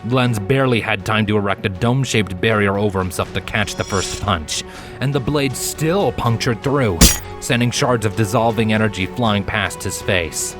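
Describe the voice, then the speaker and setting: Lower-pitched man narrating a fantasy story. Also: normal speed. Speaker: fan fiction narrator. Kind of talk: dramatic scripted story narration. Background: background music.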